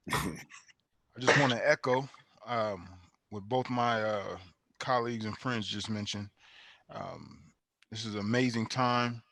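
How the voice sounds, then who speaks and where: A man with a lowish voice and a dry, close sound makes unscripted panel remarks. He is earnest and restates phrases to underline them. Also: slow pace, long pauses, fairly bright tone, no room sound. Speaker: panel participant.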